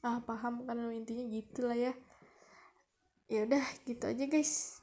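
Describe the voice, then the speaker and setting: A woman's voice: on the high side, fairly even intonation, moderate pace, mellow. A young woman from Bogor with a Jakarta slang accent, hosting a casual solo podcast and rambling to herself in a chatty way. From Bogor, Indonesia. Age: young adult. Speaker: podcast host.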